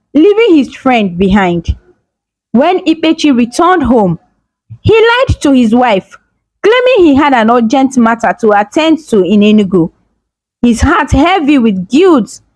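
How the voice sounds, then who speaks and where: Expressive high-voiced woman storyteller. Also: no room sound, poor audio. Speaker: storyteller, folktale narrator. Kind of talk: scripted dramatic storytelling narration.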